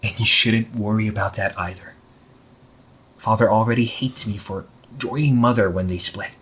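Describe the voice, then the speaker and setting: A man playing a young supervillain in an amateur audio roleplay, husky and breathy, speaking earnestly and haltingly to the listener. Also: on the low side, steady tone, fairly bright tone. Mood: tender, reassuring. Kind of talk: amateur audio roleplay, intimate one-sided dialogue to listener.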